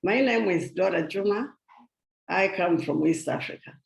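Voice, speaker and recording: A woman's voice: fairly low pitch, normal speed, creaky, echoey room, low-quality recording. An older woman from Tanzania telling her personal story earnestly as a guest speaker over a video call. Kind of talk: personal testimony talk.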